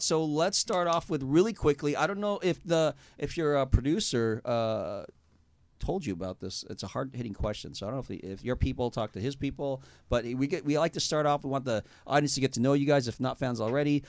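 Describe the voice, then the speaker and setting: A man's voice: mid-range voice, no echo. A man hosting a casual radio interview, chatty, with many restarts and laughs. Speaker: host.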